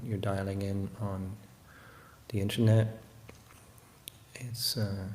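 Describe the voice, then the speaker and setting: A man teaches meditation in a fairly low, very level voice. He takes his time, hesitating and pausing as he reflects. The room adds a clear echo around his words. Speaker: meditation teacher, Buddhist monk. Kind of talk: guided meditation talk. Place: room in a monastery. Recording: roomy echo, faint background noise.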